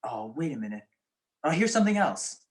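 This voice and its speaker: Young woman as flippant detective, low lively voice. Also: fairly quick. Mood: brisk, shrewd. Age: young adult. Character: suave, flippant male private detective husband. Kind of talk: 1940s radio detective drama acting.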